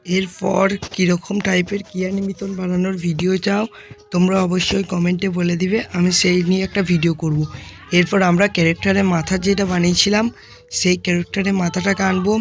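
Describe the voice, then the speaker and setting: A tutorial host narrating software steps one by one, speaking informally to viewers as friends. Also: mid-range voice, little pitch movement, brisk.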